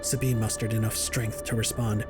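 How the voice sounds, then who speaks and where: A man's voice: on the low side, level tone, normal speed, loud music behind the voice. A man delivering dramatic scripted narration as the narrator of an audio drama. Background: dramatic score.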